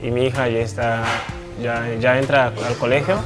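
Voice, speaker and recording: A man's voice: medium pitch, over music. Hesitant man speaking. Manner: hesitant, calm, with pauses and fillers. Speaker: interviewee. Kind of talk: interview answers.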